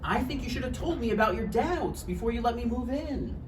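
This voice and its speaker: A woman acting an insecure, needy aspiring writer in a live table read, halting, earnest and argumentative. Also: a little high, animated, lightly acted. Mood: pleading, aggrieved. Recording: distant mic, echoey room, noisy.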